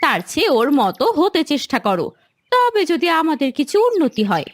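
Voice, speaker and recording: A woman acts out a principled cartoon wife with a very high, lively voice. She speaks plainly and admonishes her husband, with a dry close sound. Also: moderate pace, dry recording. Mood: admonishing. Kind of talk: animated moral story dialogue.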